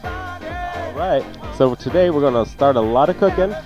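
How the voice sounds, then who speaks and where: Animated man chatting on lo-fi radio. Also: fairly high pitch, normal speed. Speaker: chef and radio co-host. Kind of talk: casual live radio chat. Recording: dull lo-fi radio sound, loud music. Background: music.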